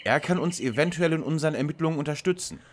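An actor playing a polite, precise young lead detective in a parody detective radio play. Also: male voice, fairly high pitch, quick pace, close to an everyday voice. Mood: courteous. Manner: formal, courteous, precise.

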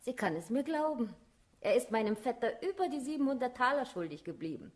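A woman's voice: on the high side, sing-song, brisk. An actress playing a smug, self-assured, gossiping townswoman in a German radio drama. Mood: pointed.

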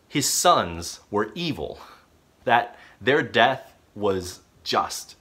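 Animated man preaching from home. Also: mid-range voice. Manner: animated storytelling with expressive gestures. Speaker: pastor. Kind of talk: sermon. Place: small living room at home.

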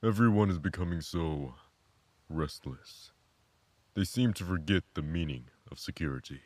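Male actor as calm grave mentor, extremely low voice, contemplative, measured, audio drama, dry sound. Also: dry recording.

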